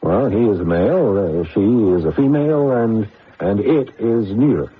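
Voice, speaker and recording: A man's voice: fairly low pitch, animated, normal speed, breathy edge. A man playing a hesitant, anxious computer scientist with an American accent in a radio drama, often stammering. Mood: patient, flustered. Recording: narrowband old radio, faint noise.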